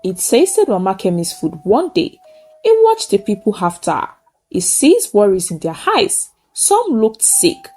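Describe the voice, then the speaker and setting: A woman's voice: mid-range voice, huge pitch swings, unhurried, darkish tone, slightly rough. A woman narrates a folktale in short, simple sentences, solemn and emphatic. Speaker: storyteller. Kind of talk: dramatic folktale narration with a closing moral.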